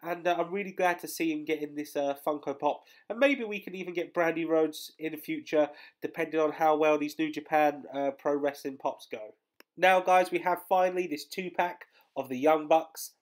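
Listening in, you hear a young man who sounds bunged up and under the weather, his voice sitting a bit high for a man. He chats and rambles in a loose vlog voice-over, filling gaps with lots of ums and uhs. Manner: chatty and rambling, many fillers. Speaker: collectibles channel host. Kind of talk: casual news vlog voice-over.

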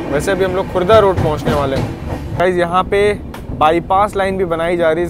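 Man, young adult, travel vlogger, chatty, slightly high voice, train noise behind. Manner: chatty, recommends food to viewers. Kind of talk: casual travel vlog commentary. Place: on a moving train and station platform. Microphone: camera held at arm's length. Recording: clear train and outdoor noise.

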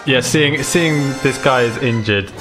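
A man with a middle-pitched voice takes part in a tabletop game as a player. He talks casually, with music clearly playing behind him. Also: unhurried.

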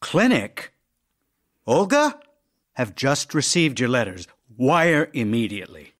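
A man's voice: mid-range voice, huge pitch swings, takes it slowly, a bit bright, exaggerated voice. A man playing a devoted, anxious writer husband in an audio drama of love letters, with a theatrical, yearning delivery. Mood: alarmed.

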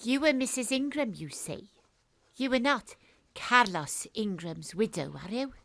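A woman's voice: on the high side, animated, unhurried, a bit bright, no room sound. A woman playing a wry, wary hard-boiled private detective in an audio drama, clipped and suspicious. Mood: suspicious, firm. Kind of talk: audio drama acting, noir narration.

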